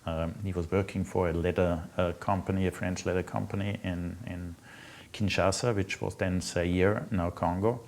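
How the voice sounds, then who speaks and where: A middle-aged man from Austria with an Austrian accent, a native German speaker, telling his life story off the cuff in a halting, reflective way. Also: sits low, fairly even intonation, vocal fry, a little mellow, a little hiss or hum. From Austria, Salzburg province. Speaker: interviewee recounting own life. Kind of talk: unscripted personal storytelling.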